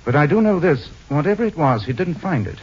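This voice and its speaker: Urbane gentleman sleuth, dry wit, husky, deliberate, muffled vintage radio drama recording. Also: a little high, animated. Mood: thoughtful, deliberate. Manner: urbane, dryly witty, deliberate. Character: suave, wry gentleman detective, cool and unhurried. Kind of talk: 1940s-50s radio acting.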